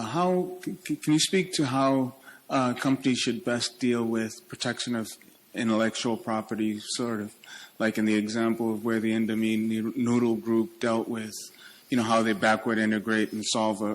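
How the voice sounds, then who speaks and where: Lowish guy in the audience fumbling through a two-part question. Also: flat delivery, rough recording. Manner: halting, stumbling with fillers.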